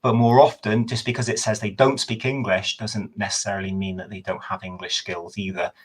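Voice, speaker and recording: A history professor talks through his subject over a video call. His voice sits low, and he speaks in a measured, thoughtful way, now and then restarting a phrase to correct himself. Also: steady tone, husky. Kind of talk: explanatory talk in a webinar.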